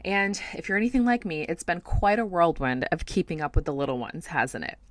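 A woman's voice: on the low side, sing-song, fairly quick. A woman in her thirties hosting a casual podcast, talking straight to listening mothers in an encouraging way. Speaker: podcast host.

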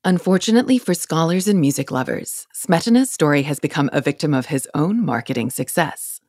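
A woman hosting a podcast, narrating a scripted story in a clear, measured way. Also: low-pitched, lively intonation, darkish tone, no echo, studio quality. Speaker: podcast host.

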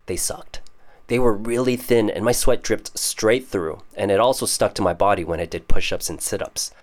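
A man's voice: fairly low pitch, fairly even intonation, moderate pace. A young man with a Canadian accent hosting a podcast, casually explaining English idioms with jokes. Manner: casual, joking, explains terms. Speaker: podcast host. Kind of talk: scripted podcast monologue teaching English idioms.

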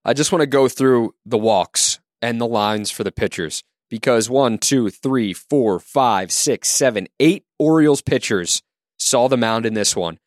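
Man steadily reading out pitching lines. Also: measured pace, dry recording, clean studio sound. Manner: steady, listing numbers methodically. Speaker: co-host. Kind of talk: sports podcast, reading stat lines.

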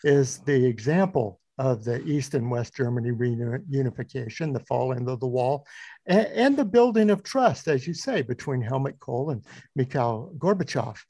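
Mellow-voiced male interview host. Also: medium pitch, lively intonation, normal speed, no echo. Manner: reflective, personal asides. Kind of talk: conversational interview.

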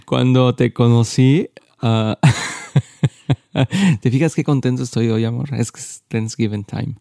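Playful Mexican man, mellow dry voice. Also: medium pitch, slow, creaky, no echo. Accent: Mexican Spanish. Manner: playful, laughs often, restarts mid-phrase. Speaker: co-host.

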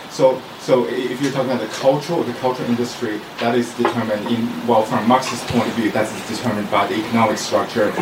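A man from China asking a long question from the audience at a live event, repeating words as he forms it. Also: mid-range voice. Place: indoor live event venue. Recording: distant, echoey venue sound with clear noise.

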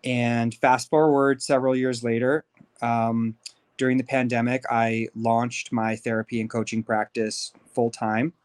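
A man of thirty with an American accent speaks at a medium pitch. He is a podcast guest recounting his life in an informal, candid, conversational way. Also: little pitch movement, a little slow, clean tone. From Los Angeles, United States. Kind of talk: conversational personal life story.

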